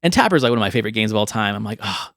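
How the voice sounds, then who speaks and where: A man's voice: lowish voice, lively intonation, rapid-fire, fairly bright tone, no echo, studio quality. A middle-aged man hosting a casual film and games podcast, chatting with an enthusiastic storytelling manner. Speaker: podcast host. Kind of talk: casual chat about films and games.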